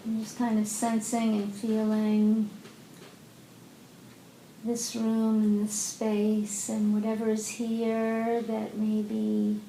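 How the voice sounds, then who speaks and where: Very slow, mellow woman guiding meditation. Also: monotone, creaky. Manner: gentle, unhurried, long pauses. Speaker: meditation teacher. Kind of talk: guided meditation. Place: indoor meditation room. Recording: roomy echo, faint rumbling background noise.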